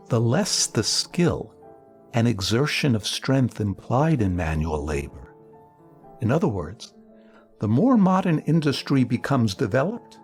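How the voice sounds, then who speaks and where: A man giving a dramatic reading of a political text in a measured, declamatory way, with emphatic phrasing and deliberate pauses. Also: medium pitch, darkish tone. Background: music.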